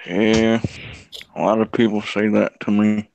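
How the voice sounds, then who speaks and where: A man's voice: lowish voice, little pitch movement, unhurried, creaky, darkish tone, highs cut off, a little hiss or hum. A nineteen-year-old man on a live stream panel over a video call, chatting casually and playfully contradicting the others. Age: teens. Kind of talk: casual chat.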